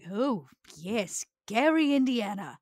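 A woman's voice: on the high side, sing-song, slow, darkish tone, no echo, studio quality, full character voice. A woman playing a poised, politely sardonic vampire in a tabletop game, speaking with careful formality. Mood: polite, measured.

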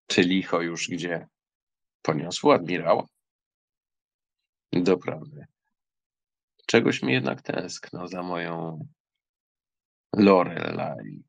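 A man playing a gravelly, arrogant young count. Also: low voice, lively intonation, slow, pauses often, no room sound, full character voice. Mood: brooding, infatuated. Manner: bold, insolent, theatrical. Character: arrogant German count, impetuous, infatuated, stubborn suitor. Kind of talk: audiobook drama reading.

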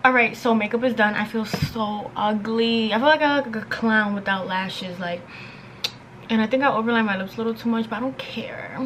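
High-voiced young woman chatting, noisy room. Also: fairly quick. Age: young adult, around twenties. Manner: slangy, rambling, filler-heavy with frequent "like". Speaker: beauty and lifestyle vlogger. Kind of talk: casual chatty get-ready-with-me vlog. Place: bedroom at home, indoors.

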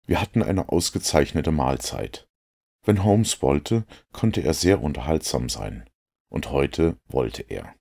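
A man reading a literary story aloud in a measured, deliberate way as an audiobook narrator. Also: extremely low pitch, animated, gravelly, warm tone. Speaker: audiobook reader.

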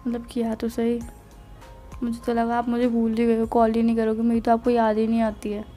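A woman's voice: a little high, monotone, brisk, mellow. A young woman with an Indian accent chatting casually on a phone call, playful and a little defensive with her partner. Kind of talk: casual intimate phone chat. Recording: phone call recording, faint music.

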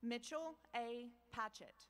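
A woman with a slightly high voice reads out graduates' names at an outdoor ceremony. She speaks slowly and formally into a podium microphone, pausing after each name. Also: fairly bright tone. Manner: slow, measured, pausing between names. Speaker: dean reading graduate names.

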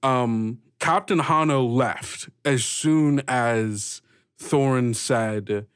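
A man of medium pitch runs a tabletop game as its master. His improvised narration comes in halting phrases, recorded dry with little room sound. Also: smooth, no echo.

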